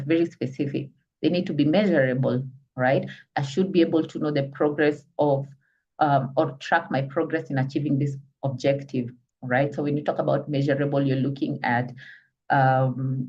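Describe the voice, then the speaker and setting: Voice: mid-range voice, darkish tone. A business trainer with a Kenyan accent gives an online lecture, explaining step by step and often asking listeners if they follow. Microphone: video call. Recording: distant, muffled call audio.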